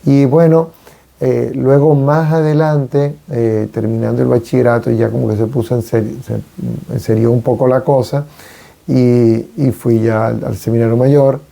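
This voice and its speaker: Gravelly-voiced Venezuelan-born priest. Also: male voice, mid-range voice, steady tone, warm tone. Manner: unhurried and reflective, many hesitations. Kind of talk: casual conversation on a radio talk show.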